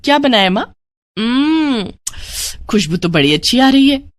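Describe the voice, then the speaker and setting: A woman's voice: fairly high pitch, very animated, measured pace, pitched above the performer's own voice. Woman doing a sweet cheerful cartoon daughter. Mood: coaxing. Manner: sweet, coaxing.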